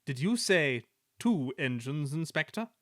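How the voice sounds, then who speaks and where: A stern, pompous railway boss barking brisk orders, as a man puts him on. The voice swings lively in pitch. The recording is dry and close. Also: no echo. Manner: commanding, brisk.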